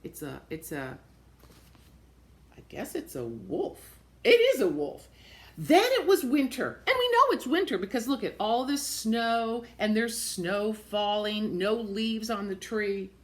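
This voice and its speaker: A woman reading a picture book aloud to young children, expressively, pausing to point things out in simple words and repeating phrases. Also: on the high side, big pitch swings. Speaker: storybook reader for young children.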